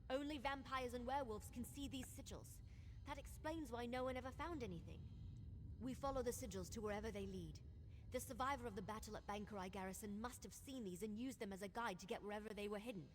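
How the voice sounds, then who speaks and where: An actress playing a keen, urgent adventurer following clues in a video game. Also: female voice, a little high, fast, a little hiss or hum, natural voice, low-quality recording. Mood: determined, intrigued.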